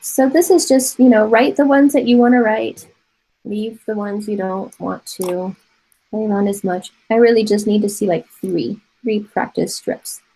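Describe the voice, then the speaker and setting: A woman's voice: medium pitch, fairly even intonation, unhurried, warm tone, husky, poor audio. A woman in her forties from Taiwan, a native Mandarin speaker, teaching an online college art class from home in a chatty, encouraging way, often laughing and thinking aloud. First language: Mandarin Chinese. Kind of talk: casual online class instruction. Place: home room with bookshelves. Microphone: webcam on a video call.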